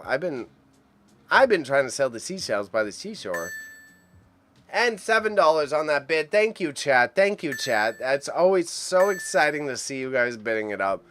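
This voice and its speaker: A man hosting a casual auction livestream, chatty and excitable as he rambles to his chat, with a mild impediment on sh and ch sounds. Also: on the high side, moderate pace, a little hiss or hum. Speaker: livestream host reacting to an online auction. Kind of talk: casual live stream commentary.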